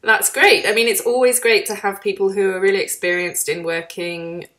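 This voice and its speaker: A woman's voice: medium pitch, steady tone, normal speed, a bit bright. A woman hosting an online course interview, chatty with frequent "um"s as she introduces and questions her guest. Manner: friendly, chatty, many "um"s.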